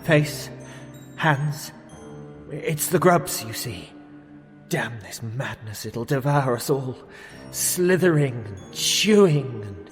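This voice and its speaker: An actor playing a half-mad older British gentleman archivist in radio theatre, muttering in short theatrical phrases, urgent and commanding. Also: mid-range voice, expressive, slow pace. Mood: feverish, raving. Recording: long echoing reverb, music underneath.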